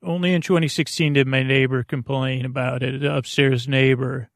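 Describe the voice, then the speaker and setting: A man with a creaky voice hosting a podcast for the sleepless, talking in a casual, meandering, halting monologue with a goofy, whimsical streak. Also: medium pitch, little pitch movement, no room sound, studio quality. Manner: meandering, halting, stop-and-start. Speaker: podcast host.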